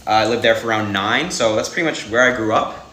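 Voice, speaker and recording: A man's voice: fairly low pitch, fast, pushed and bright, roomy sound, a little hiss or hum. A young man and college student, plainly recounting where he has lived. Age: young adult. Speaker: student in a video clip.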